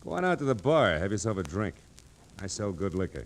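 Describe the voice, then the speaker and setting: A big, surly crime boss in a 1940s radio drama. His voice is deep, his delivery curt and guarded with a threatening edge. A faint layer of old recording noise sits on it. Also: sing-song, a little fast. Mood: quiet threat. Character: big, surly middle-aged male racket boss.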